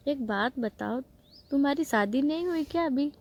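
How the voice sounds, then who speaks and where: A woman's voice: high-pitched, expressive, normal speed, a little mellow, no echo. A woman playing an unhappy, coy married sister-in-law who flirts in a staged phone-call role-play, speaking casually in short replies. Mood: coy, flirtatious.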